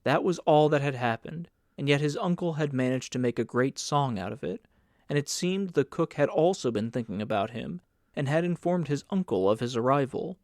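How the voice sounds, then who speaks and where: A man's voice: medium pitch, lively intonation, moderate pace, darkish tone, dry recording, clean studio sound. A man reading literary fiction as a scripted audiobook narration.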